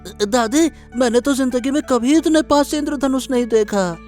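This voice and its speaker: A performer voicing an excitable young village grandson full of wonder in a cartoon story, eagerly repeating words. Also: sits high, normal speed, faint music behind, lightly acted. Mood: eager, curious. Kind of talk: Hindi cartoon story dialogue.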